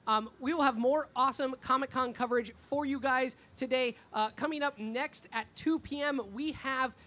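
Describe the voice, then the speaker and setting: High-voiced woman hosting a live stream. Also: moderate pace, fairly bright tone. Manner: joking, informal. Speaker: host of a convention live stream. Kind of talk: casual on-stage interview chat.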